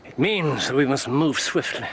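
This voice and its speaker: An actor with an English accent playing a driven, commanding detective in a radio drama, urgent and clipped in manner. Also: male voice, mid-range voice, lively intonation, normal speed, a little hiss or hum. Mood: grave, resolute. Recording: radio drama with loud sound effects behind.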